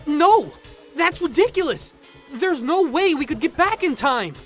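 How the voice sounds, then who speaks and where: A man playing an excitable, anxious young college student in a game fan dub. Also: very high voice, measured pace, soft background music, full character voice. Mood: outraged, panicked.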